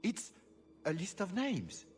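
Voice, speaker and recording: A man's voice: sits high, huge pitch swings, slow pace, slight background noise, full character voice. An actor playing a haughty, impatient nobleman in a video game, speaking with imperious disdain. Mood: indignant. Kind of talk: video game cutscene acting.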